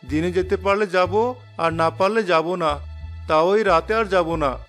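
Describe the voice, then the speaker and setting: A man voicing a stubborn, plucky young son-in-law in a cartoon, talking in an animated, exaggerated way. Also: high-pitched, unhurried. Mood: worried. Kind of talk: cartoon acting. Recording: music bed under the voice.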